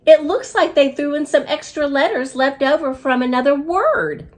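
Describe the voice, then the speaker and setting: A woman voicing a small, eager, self-important male hamster in an expressive, exclamatory storybook telling. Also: sits high, moderate pace, pitched above the performer's own voice, lightly acted. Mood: earnest, indignant.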